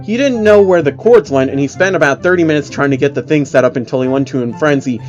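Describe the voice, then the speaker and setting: A man's voice: fairly high pitch, fast, highs cut off. A man giving a mocking, amused dramatic reading of an online horror story as its narrator. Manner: amused, exaggerated, often breaking character. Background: faint music.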